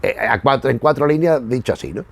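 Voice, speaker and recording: A man from hosts a casual commentary in a very dry recording. His voice sits at an average pitch. He digresses and circles back, rewording phrases as he searches for the right one. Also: lively intonation, fast, creaky, no room sound. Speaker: YouTube host reviewing retro cartoons.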